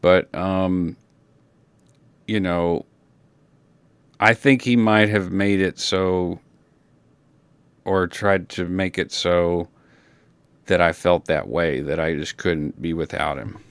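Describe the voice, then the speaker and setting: A man with a very low voice talks slowly through a personal, unscripted reflection. He is a native Creole French speaker, and he often halts to search for words or trails off. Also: fairly even intonation, clear, resonant tone. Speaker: personal documentary narrator.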